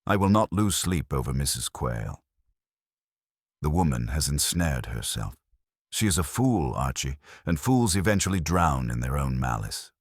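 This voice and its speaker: A man plays an imperious genius detective in a mystery audiobook, extremely deep and husky. He hands down blunt, formal verdicts with pompous certainty and is never moved. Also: sing-song, moderate pace, no room sound, studio quality, full character voice. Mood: scornful. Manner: pompous, formal, pronouncing in maxims. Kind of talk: mystery audiobook character reading.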